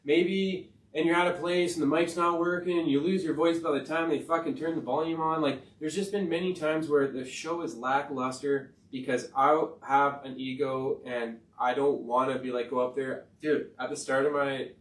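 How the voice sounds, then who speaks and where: A man with a high-pitched voice, talking in a rambling, profane and emphatic way on a casual podcast. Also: steady tone, normal speed, hardly any pauses. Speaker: podcast host and rapper. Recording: roomy sound from far off the mic.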